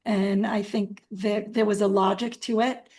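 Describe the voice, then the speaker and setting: A woman's voice: mid-range voice, level tone, unhurried, darkish tone. A woman from Israel, speaking thoughtfully as a community organizer in a webinar, with frequent ums. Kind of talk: webinar talk. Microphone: video call.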